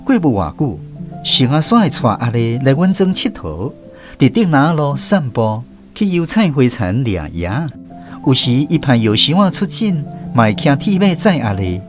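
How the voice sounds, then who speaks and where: A man's voice: medium pitch, sing-song, moderate pace, over music. A man with a Taiwanese accent narrating a scripted first-person story for a radio drama.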